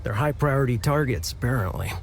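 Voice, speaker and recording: An actor playing an unhurried army patrol guard in a video game, musing casually. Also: male voice, mid-range voice, animated, creaky, noisy background.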